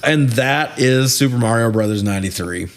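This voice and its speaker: A man's voice: mid-range voice, normal speed. A man co-hosting a casual film review podcast, recapping in a conversational way and swearing freely. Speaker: podcast co-host.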